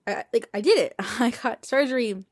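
A woman of twenty talking into a phone camera at home at night, tired and in pain after surgery, rambling with many halting restarts. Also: fairly high pitch, husky, no echo, clean studio sound. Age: 20s. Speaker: vlogger. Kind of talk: casual video diary. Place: small room indoors at night. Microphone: phone camera held close.

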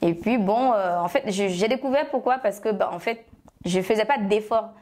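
A woman in her thirties from Côte d'Ivoire with an Ivorian French accent, chatting as an interview guest, full of fillers and self-corrections, on a lapel mic in a bright studio room. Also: medium pitch, normal speed. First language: Agni. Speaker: guest, love shop founder. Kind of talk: relaxed interview answers.

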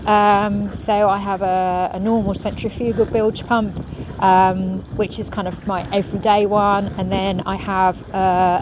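Woman, middle-aged, quiet thoughtful sailor, outdoor marina, camera at a distance, audible traffic and harbour noise. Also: medium pitch. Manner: quiet, thoughtful, reads out questions then answers with many ums. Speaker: professional ocean racing sailor answering viewer questions. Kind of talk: casual question and answer. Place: outdoors on a marina pontoon beside a racing yacht. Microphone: camera at medium distance, phone in hand. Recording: outdoor recording with clear background noise. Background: marina ambience and passing vehicles.

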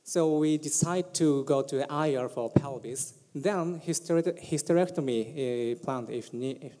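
A man in his thirties with a Japanese accent, presenting a medical case at a conference in careful, halting English. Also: on the high side, mellow. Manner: plain, careful, with halting fillers. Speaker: conference speaker. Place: large conference hall stage. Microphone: podium mic. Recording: reverberant hall.

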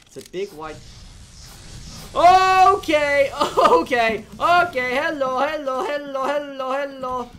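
A man's voice: very high-pitched, expressive, slow pace, bright, forceful tone, a little hiss or hum. A young man streaming a game as a VTuber, chatting casually with his chat and laughing often. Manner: chatty, giggly, talks to chat. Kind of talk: casual gameplay livestream chat.

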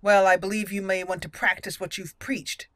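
A woman's voice: medium pitch, level tone, moderate pace, fairly bright tone, no echo. A woman hosting a gaming channel, giving casual, playful let's play commentary and talking to the characters. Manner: playful, talks to game characters.

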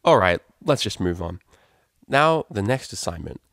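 A man's voice: lowish voice, big pitch swings, normal speed, creaky, a bit bright, dry recording, clean studio sound, full character voice. A man voicing a weary, resigned male pony tutor addressing his class.

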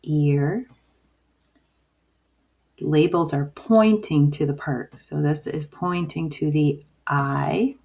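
A woman teacher giving a video lesson to young children in simple, deliberate phrases. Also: low voice, a little mellow. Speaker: early-years school teacher. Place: indoors at a table, homemade video. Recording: dull lo-fi sound, clear noise.

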